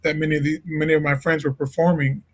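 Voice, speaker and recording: A man's voice: fairly high pitch, fast talker. A man from Illinois, a jazz singer, chatting expressively as an interview guest. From Peoria, Illinois. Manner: expressive, emphatic storyteller. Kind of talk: casual interview chat.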